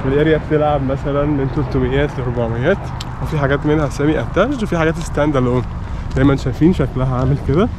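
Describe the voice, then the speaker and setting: A man's voice: on the high side, racing. A man with a Cairo Egyptian accent giving casual, unscripted walking-tour commentary as a vlog host, mixing English words into his Arabic. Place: outdoors walking along residential villa streets. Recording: noisy outdoor street with passing vehicles.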